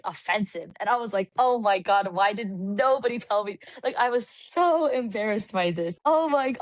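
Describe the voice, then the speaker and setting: A woman from California, a podcast guest, telling travel stories in an animated, casual way with lots of "like". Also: fairly high pitch, dry recording, poor audio. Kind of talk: casual chat. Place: Puerto Rico.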